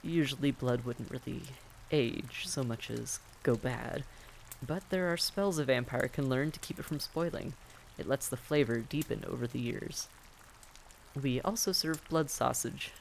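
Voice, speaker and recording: A man playing a friendly, patient vampire innkeeper in a roleplay audio drama, giving gentle, folksy, reassuring advice straight to the listener. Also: medium pitch, a little slow, vocal fry, a little hiss or hum, low-quality recording. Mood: hospitable, chatty. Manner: gentle, folksy, politely advising.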